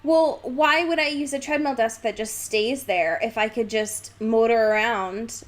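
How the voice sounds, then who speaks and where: Woman podcast co-host, higher voice, casual and animated, swears freely, exaggerates drily. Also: measured pace. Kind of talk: casual banter, book discussion.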